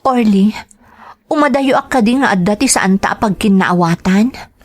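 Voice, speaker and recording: A woman's voice: mid-range voice, moderate pace, lightly acted. A woman with an Ilocano accent playing a dutiful, earnest eldest daughter in a sentimental radio drama. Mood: troubled. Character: dutiful eldest daughter, young woman going overseas to work. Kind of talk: Ilocano radio drama acting and first-person narration.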